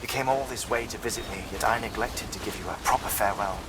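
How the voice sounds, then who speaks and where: An actor playing a courteous, erudite scientist in a video game, speaking formally and precisely, as if lecturing. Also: male voice, on the low side, fast, long reverb tail, very noisy, natural voice. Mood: polite, reflective. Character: courteous, erudite male scientist, gently intense. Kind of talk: dramatic video game exposition.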